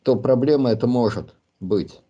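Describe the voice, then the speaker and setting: Man, low mellow voice, husky, reflective commentary, hesitant pauses. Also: highs cut off. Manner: thoughtful, pauses with many hesitations. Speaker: commentator answering listeners' questions. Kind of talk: reflective spoken monologue.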